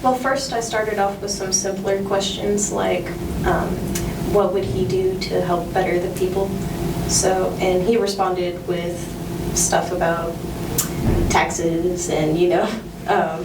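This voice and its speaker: A teenage girl with a lowish voice answers questions casually, pausing and filling gaps. She sounds far off in an echoey room with noise. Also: flat delivery. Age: teens. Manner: hesitant, full of fillers. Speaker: student interviewee. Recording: roomy, distant school recording with noise.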